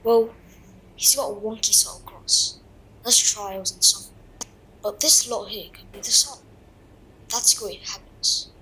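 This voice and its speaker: A woman's voice: mid-range voice, measured pace, long pauses, sharp tone, slight background noise, low-quality recording. A woman playing an earnest, uncertain young pupil at a magic school in an audio drama. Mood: puzzled, hesitant.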